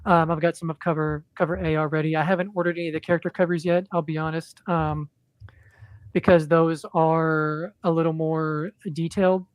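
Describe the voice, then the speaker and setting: A man chatting as a guest on a podcast, in a monotone, rambling way with lots of ums and restarts. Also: fairly high pitch, fairly quick, clean tone, no room sound. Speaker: podcast guest, indie comic creator. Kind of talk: casual podcast chat.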